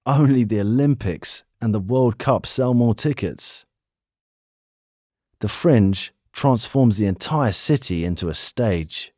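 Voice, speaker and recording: A man with a fairly low voice narrates a scripted city tour for language learners. His delivery is measured and clearly phrased, and the recording is dry and close. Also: dark tone, dry recording. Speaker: narrator of an English lesson.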